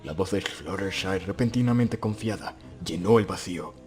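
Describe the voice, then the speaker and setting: A man's voice: low-pitched, moderate pace, a little mellow, faint music behind. A man giving a scripted, dramatic narration of a story.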